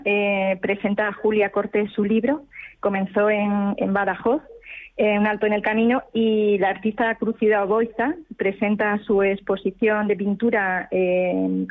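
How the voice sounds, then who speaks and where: Female gallery director calling in, halting, phone-quality. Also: medium pitch, little pitch movement. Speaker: art academy director. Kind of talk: phone interview. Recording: phone line.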